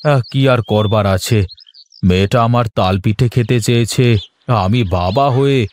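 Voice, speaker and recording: A man voices a kindly village father in a cartoon fairy tale. He is humble, doting and a little thrifty. His pitch is medium, and faint noise and clicks sit behind the voice. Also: moderate pace, close to an everyday voice. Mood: grumbling, resigned.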